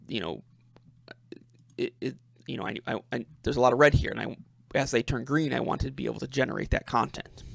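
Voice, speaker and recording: A man with a noticeably creaky, mid-pitched voice presents an informal software walkthrough. He talks in a halting way, as if working out each phrase aloud, and often repeats a word before going on. The recording is dry and close, with little sound of the space. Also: no echo. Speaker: presenter demonstrating a personal coding project. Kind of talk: informal screen-share walkthrough.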